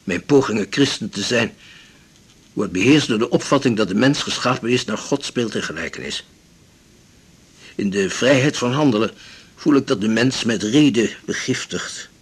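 An actor playing an elderly, blunt, resolute widowed seaman in a radio drama, speaking in a measured, formal, philosophical way. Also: medium pitch, lively intonation, husky. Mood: resolute, grave. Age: 70s or older. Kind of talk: 1980s Dutch radio drama acting.

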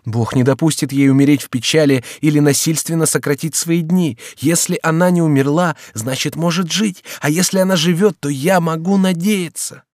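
A man's voice: mid-range voice, normal speed, darkish tone, no room sound, clean studio sound, voice pushed up, lightly acted. A man reading aloud and voicing a lovesick young count, impassioned and pleading. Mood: fervent.

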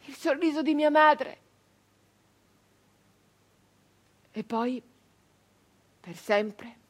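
An actress playing a captured nineteenth-century brigand woman, wounded, bitter and doomed, in an intense, halting theatrical monologue. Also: sits high. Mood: resigned, longing. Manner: intense, halting delivery with repeated phrases.

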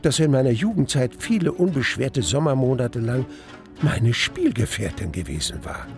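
Gravelly older storyteller. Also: male voice, medium pitch, sing-song, soft background music. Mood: wistful. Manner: literary, deliberate, reflective. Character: reflective older man recounting his youthful love. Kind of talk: dramatic scripted narration.

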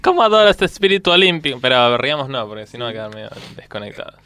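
A man with an Argentine accent and a bright, very lively voice, rehearsing comic radio lines. He slips between theatrical delivery and giggly casual banter. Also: medium pitch, racing, clean tone. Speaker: comedy radio performer.

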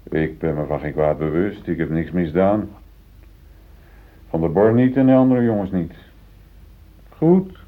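Deep-voiced, defensive middle-aged foreman, muffled radio play. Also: a little slow, long pauses. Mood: indignant, firm. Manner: self-justifying, earnest. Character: newly promoted middle-aged foreman, defensive, conservative, uneasy. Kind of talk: Dutch radio play acting. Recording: muffled phone-like old radio recording.

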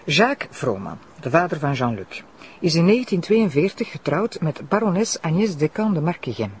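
A woman's voice: low-pitched, sing-song, moderate pace, a little mellow. A woman with a Flemish accent playing an eager, polite deputy prosecutor in a naturalistic audio drama. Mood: businesslike. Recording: faint background noise.

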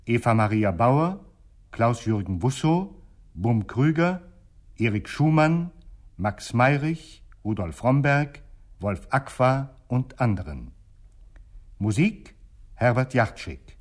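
A man's voice: mid-range voice, sing-song, takes it slowly. A man reading closing credits as a formal radio announcer in 1960s style.

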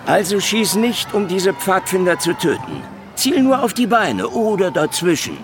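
A man's voice: sits high, very animated, unhurried, music in the background. A man playing a cunning, hard-drinking old private detective in a hard-boiled radio drama, taunting and wordy in his wisecracks. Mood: taunting, tough. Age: older.

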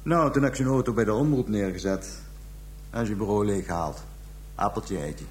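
An actor in a radio drama playing a cold, matter-of-fact murderer confessing without remorse. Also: male voice, on the low side, moderate pace, slight background noise, close to an everyday voice. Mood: matter-of-fact, smug. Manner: casual, unrepentant, matter-of-fact.

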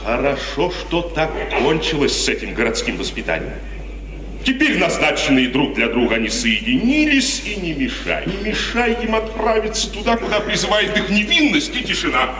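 A man's voice: fairly high pitch, very animated, moderate pace, crowd noise. Stern father, wildly swinging delivery, echoing. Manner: declamatory, emphatic. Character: stern, proud, suspicious father of a grown daughter. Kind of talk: 1950s Russian radio theatre acting. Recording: noisy, reverberant 1950s radio recording, distant.

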